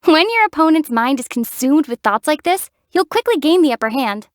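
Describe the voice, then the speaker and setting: An actress voicing a calm, wry young female traveler hero in a video game, giving dry teasing explanations and sharp battle shouts. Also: sits high, brisk, dry recording. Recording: dry close studio voice.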